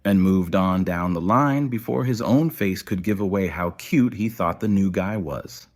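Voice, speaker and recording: Male narrator for scripted fiction, lower-pitched voice, storytelling delivery. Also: a little slow, darkish tone.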